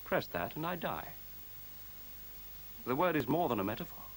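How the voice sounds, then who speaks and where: A man playing a newborn machine intelligence in a science fiction radio play, speaking in measured, formal, pedantic sentences with cool, superior logic. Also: fairly high pitch, big pitch swings, low-quality recording. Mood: calmly reasoning. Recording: faint hiss, 1970s radio recording.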